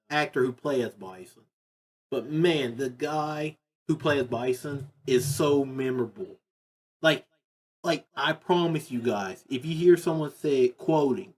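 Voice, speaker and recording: A man giving casual, unscripted commentary as a video host, rambling and emphatic, often repeating his phrases. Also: on the high side. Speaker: video host ranking video game movies.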